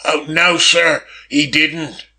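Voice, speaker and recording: A man's voice: a little high, expressive, measured pace, pushed and bright, voice pushed up, heavily acted. A man voicing a nervous, deferential footman in an audiobook, with a quavering, hesitant delivery. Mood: earnest, nervous. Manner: hesitant, obsequious, stammering. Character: nervous, deferential male footman, pleading servant.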